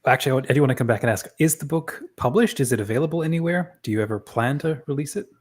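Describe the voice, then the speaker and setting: A woman's voice: rumbling low, very fast, a little mellow, roomy sound. A young woman hosting a casual interview in an encouraging, affirming manner. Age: young adult. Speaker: interviewer, podcast host.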